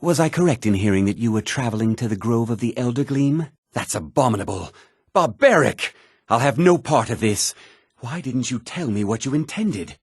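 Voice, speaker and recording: A man's voice: mid-range voice, expressive, moderate pace, slightly rough, dry recording, clean studio sound. A man playing a devout, earnest pilgrim who worships nature, speaking florid, theatrical video game dialogue. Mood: appalled, righteous.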